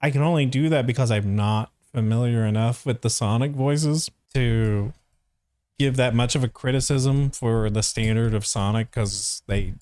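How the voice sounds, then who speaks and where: Male reaction host, mid-pitched, casual and halting critique, thinking aloud, very dry close sound. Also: a little mellow, no echo. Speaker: reaction video host, voice actor.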